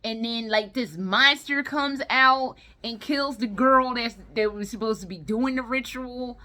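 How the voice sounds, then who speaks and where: A woman records a casual solo review vlog in a bright, forward voice pitched a little high. She is tired and rambles conversationally. Her way of talking is blunt and peppered with swearing. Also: unhurried. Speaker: video game and movie vlogger.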